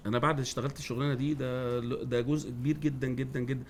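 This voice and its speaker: A man's voice: mid-range voice, flat delivery, racing, slight background noise. A man in his forties with an Egyptian accent, a guest in a casual chat, who agrees often and repeats words as he restarts. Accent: Egyptian Arabic. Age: 40s. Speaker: guest, football coach.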